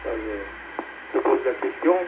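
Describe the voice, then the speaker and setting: A man's voice: on the low side, lively intonation, slow pace. A man chatting casually as an amateur radio operator, plain-spoken in short phrases over a radio relay. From France. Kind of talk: casual chat on a ham radio net. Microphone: two-way radio microphone. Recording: noisy narrowband amateur radio relay transmission.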